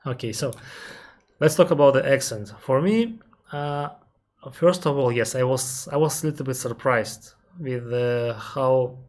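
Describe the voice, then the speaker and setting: A man with a Russian accent, an airline captain on a live stream, reading out viewer questions and answering them carefully, often pausing with "uh" and restarting his sentences. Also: medium pitch, darkish tone. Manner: careful, explanatory, pauses with frequent "uh" and restarts; reads viewer questions aloud, then answers at length.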